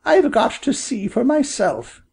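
A man's voice: very high voice, big pitch swings, measured pace, creaky, a little mellow, slightly rough, voice pushed up, heavily acted. A man voicing an anxious, doubting mother quail in a storybook, with a fretful, questioning manner. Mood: doubtful.

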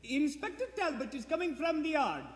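Man acting a very high-pitched excitable detective. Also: fairly quick. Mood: brisk, eager. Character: earnest, excitable Scotland Yard detective raising the alarm. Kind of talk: 1940s radio play acting. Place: theater stage in front of an audience. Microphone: standing stage microphone. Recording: live theater stage, long reverb, music behind.

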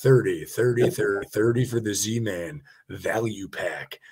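A man on a video call running a live fishing-tackle auction, calling bids in a rapid rhythmic chant with joking banter. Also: fairly low pitch, a little mellow, husky. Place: home room on a video call.

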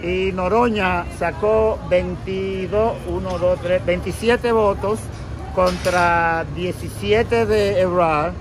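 A man's voice: high voice, steady tone, slow. A middle-aged man answering questions casually in a street interview. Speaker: interviewee at a rally. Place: outdoors on a city street corner. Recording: noisy street with passing cars.

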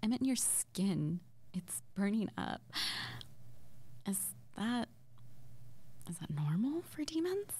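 A woman playing an excitable, playful young witch in an intimate ASMR audio roleplay, speaking softly and breathily, often near a whisper, in a halting murmur. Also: medium pitch, slightly rough, exaggerated voice. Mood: curious, teasing. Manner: halting, giggly, murmuring to the listener.

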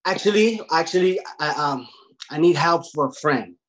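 A man's voice: a little high, expressive, normal speed, crowd noise, natural voice. A man acting a defensive, streetwise young guy in a comedy table read, stammering and repeating himself in slangy speech on a video call. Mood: flustered. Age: young adult. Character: defensive, blustering grown man, streetwise cousin. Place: home room on a video call. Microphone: laptop microphone.

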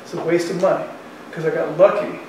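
A man in his sixties lectures like a professor thinking aloud, in colloquial language. His voice sits fairly high. It is picked up from some distance in a very echoey classroom, with faint background noise. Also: steady tone, fairly quick. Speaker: university economics professor. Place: university classroom in front of chalkboard.

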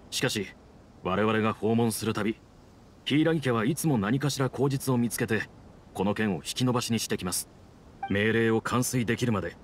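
Guy voicing dutiful samurai guard, anime game, formal n firm, normal pitch, bit of background noise. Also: natural voice.

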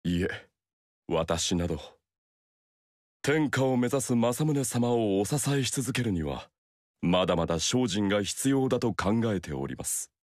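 Courteous loyal retainer. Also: male voice, lowish voice, animated, a little slow, no echo, clean studio sound. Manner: formal, courteous, quietly ironic. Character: polite, loyal young male retainer. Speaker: game character voice. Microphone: dry studio mic.

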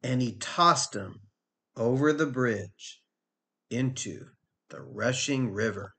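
A man's voice: mid-range voice, slow pace, vocal fry, background noise. A middle-aged man narrating a children's storybook expressively, as a teacher reading aloud.

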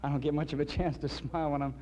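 A man in his thirties, an actor guest on a 1960s TV interview in a studio, answering in a relaxed, easygoing way with many fillers. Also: on the high side, racing. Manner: relaxed, easygoing, many ums and you-knows. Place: TV studio with curtain backdrop. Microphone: host's handheld mic. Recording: lo-fi 1960s TV broadcast with light hiss.

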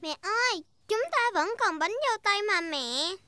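A performer voicing an expressive, cheerful, bossy little girl piglet in a Vietnamese cartoon dub. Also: very high-pitched, moderate pace, heavily acted. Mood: eager. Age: child character. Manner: expressive, childlike. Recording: music behind the voice.

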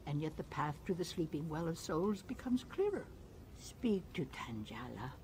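A woman playing a solemn, mystical alien spiritual leader in a video game, with a ceremonial and deliberate manner. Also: sits low, sing-song, a little hiss or hum. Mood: mystical, instructive. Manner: ceremonial, deliberate, breaking into hushed whispers.

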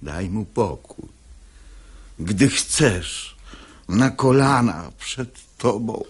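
An actor playing a sanctimonious, scheming hypocrite who feigns wounded humility in unctuous, martyred verse in a radio comedy. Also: male voice, lowish voice, expressive, very slow, slightly rough, exaggerated voice.

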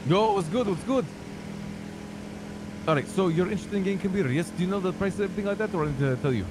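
A young man talking casually while playing a roleplay game, doing a quick salesman pitch, over noisy stream audio. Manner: fast, salesman-like, swears casually. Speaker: game roleplayer selling computers. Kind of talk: casual gaming chat with friends.

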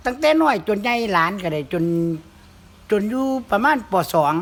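A man's voice: extremely high pitch, very animated, moderate pace, fairly bright tone. A man with a northeastern Thai accent, chatting and telling humorous folk stories in a casual, rambling way. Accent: northeastern Thai (Isan). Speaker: comic storyteller. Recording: clear background noise.

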